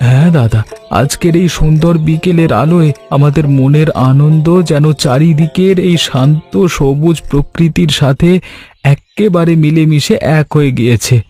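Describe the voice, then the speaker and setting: A man's voice: a little high, normal speed, mellow, husky, soft background music. A man voicing an honest, grateful village shopkeeper in a cartoon story, speaking in an earnest, deferential way. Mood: cheerful, earnest. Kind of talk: Bengali animated story voice acting.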